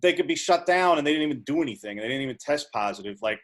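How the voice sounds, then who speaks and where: A man's voice: fairly high pitch, lively intonation, rapid-fire. A man, a sports reporter, asking a long question at a press conference and restarting it several times.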